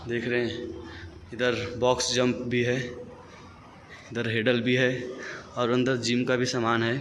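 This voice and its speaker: A man's voice: medium pitch, monotone, measured pace, fairly bright tone. A young man from India walking viewers through an outdoor athletics stadium, describing what he shows in a plain, direct way. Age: young adult. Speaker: vlogger, athlete. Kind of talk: walking tour narration. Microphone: phone camera, handheld. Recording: outdoor phone audio with background noise.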